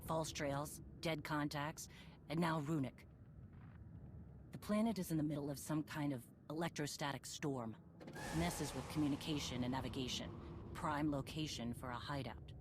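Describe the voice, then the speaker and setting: A woman's voice: deep voice, moderate pace, close to an everyday voice. An actress playing a stern, determined female warrior leader and former bounty hunter in a game cutscene, speaking in terse commands. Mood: grave, resolute. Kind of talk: scripted game cutscene acting. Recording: clear background noise and ambience.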